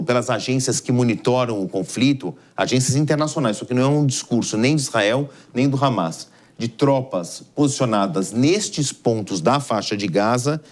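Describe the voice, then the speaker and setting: A man's voice: medium pitch, darkish tone. A man with a Brazilian accent giving live explanatory news commentary as an analyst, in a didactic, emphatic way.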